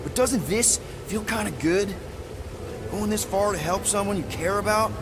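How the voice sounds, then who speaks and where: An actor playing a young, cocky space-outlaw leader in a video game, rambling, earnest and emphatic. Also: male voice, high voice, moderate pace, noisy background, close to an everyday voice. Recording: loud game music behind.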